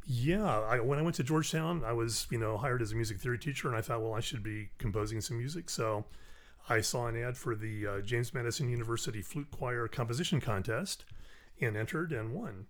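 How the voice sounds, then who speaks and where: A man's voice: mid-range voice, brisk. A man being interviewed as a musician and actor, easygoing and self-deprecating in casual chat.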